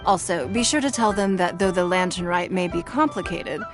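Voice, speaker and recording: A woman's voice: on the low side, natural voice. An actress playing a poised, dignified noblewoman who governs a city, speaking formally and graciously in a video game. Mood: commanding. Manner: formal, measured, gracious, authoritative. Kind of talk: scripted video game dialogue. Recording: game music behind voice.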